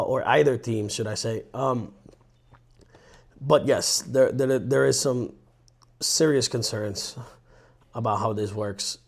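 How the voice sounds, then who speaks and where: A gravelly-voiced man, chatting casually as a podcast co-host. He tells stories in an animated, rambling way, often restarting his sentences. His voice sits at an average pitch with a rough, fry-like edge. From Colombia. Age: 30s. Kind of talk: casual chat.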